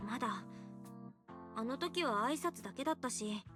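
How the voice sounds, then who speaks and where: A woman's voice: fairly high pitch, expressive, quick pace, close to an everyday voice. A woman voicing a thoughtful, inquisitive young woman in an anime game, musing conversationally. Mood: pensive. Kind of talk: Japanese anime game voice acting. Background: game music.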